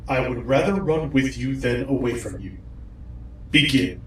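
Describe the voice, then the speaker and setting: An actor playing a commanding, obsessed villain in an audio drama, speaking in a deliberate, threatening way. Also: male voice, mid-range voice, fairly bright tone, lightly acted. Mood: cold, focused. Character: commanding, obsessed villain hunting a lost loved one. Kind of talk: dramatic audio fiction acting. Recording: echoey, distant, faint noise.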